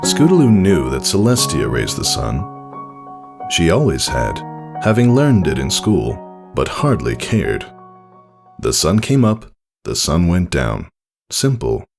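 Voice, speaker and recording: A man reading a scripted story aloud as narrator, in a measured, deliberate and expressive way. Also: low voice, creaky, music in the background. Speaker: narrator reading fan fiction.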